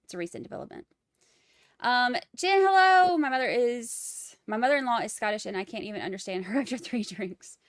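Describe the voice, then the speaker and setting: A woman's voice: on the high side, lively intonation, brisk, dry recording, clean studio sound. A woman with a Boston accent hosting a live trial commentary stream, chatting wryly and reading out viewer comments. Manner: chatty, wry, rambling asides.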